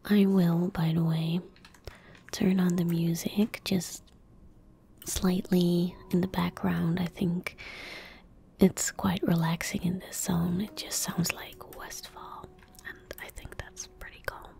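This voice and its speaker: A young woman giving soft-spoken ASMR gaming commentary, hushed, gentle and whispery, close to the microphone. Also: fairly low pitch, flat delivery, slow, a little hiss or hum. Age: young adult. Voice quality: breathy, whispery. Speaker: ASMR streamer playing a game. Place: indoor gaming room at home. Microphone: close desk microphone.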